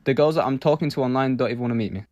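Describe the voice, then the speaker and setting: A man's voice: mid-range voice, racing, a little mellow, dry recording, muffled recording. A man of nearly twenty speaking to camera, downcast and self-pitying. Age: late teens. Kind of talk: self-recorded confession to camera.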